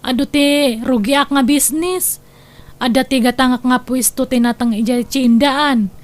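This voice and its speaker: Woman playing a high-voiced, self-assured young businesswoman. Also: fairly even intonation, unhurried. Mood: practical. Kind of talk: Ilocano comedy drama acting.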